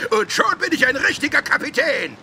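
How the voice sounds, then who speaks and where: A boastful, scheming robber chief, high and piercing. He barks orders in drawn-out, gravelly phrases in a children's radio play. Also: very animated, sharp tone, a little hiss or hum, exaggerated voice. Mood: gloating. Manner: bossy, barking orders.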